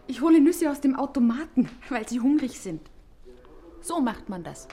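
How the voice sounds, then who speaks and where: A woman's voice: high voice, sing-song, moderate pace, noisy background. An actress in a German radio play as a playful, romantic young female student, girlish and dreamy. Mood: playful, eager.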